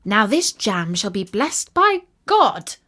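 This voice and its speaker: A plucky little tailor from a storybook, played by a woman storyteller, cheerful and very pleased with himself. He speaks theatrically and slowly, with self-important, animated swings in pitch. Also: full character voice.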